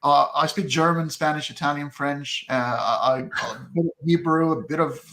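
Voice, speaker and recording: A man whose first language is Arabic, speaking as a guest in a casual conversation, thoughtful and halting with many restarts. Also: a little high, fairly even intonation, pushed and bright, poor audio.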